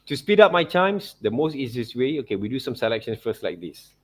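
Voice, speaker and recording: A man with a Malaysian accent teaching an informal software tutorial, often checking in with short tag words like okay and right. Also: medium pitch, very animated, a little fast, slightly rough. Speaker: art teacher.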